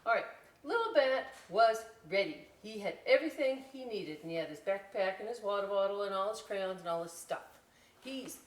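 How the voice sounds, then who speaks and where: A woman's voice: medium pitch, animated, normal speed, roomy sound. A woman hosting a story time, reading aloud in a casual, playful way with chatty asides for children. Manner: playful, informal, often checks in with "right?". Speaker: library story time host. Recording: distant mic, faint background noise.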